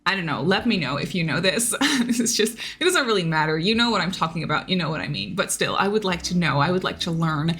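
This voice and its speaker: A woman's voice: on the low side, animated, fast talker. A young woman whose first language is Dutch, chatting casually in a voice-over about a building game, with lots of fillers and repeated words. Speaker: Sims builder YouTuber. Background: soft background music.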